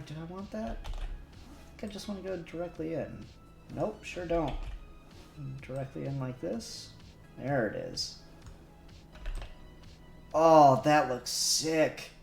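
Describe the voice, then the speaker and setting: A man's voice: a little high, takes it slowly, gravelly, pauses often. A man co-hosting a casual livestream, rambling and laughing as he thinks aloud while doing 3D modelling. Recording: echoey room with faint music. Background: faint music.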